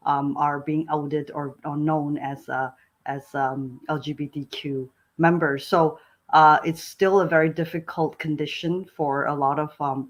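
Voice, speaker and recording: A woman from Malaysia, co-hosting, speaking warmly but hesitantly, with many ums and restarts. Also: low-pitched. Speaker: co-host. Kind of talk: casual spoken remarks.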